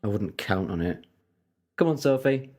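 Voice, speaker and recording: A man playing a confident, sharp-tongued time-travelling hero in an audio drama, sardonic and self-assured. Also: lowish voice, expressive, moderate pace. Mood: scornful. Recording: long hall-like reverb.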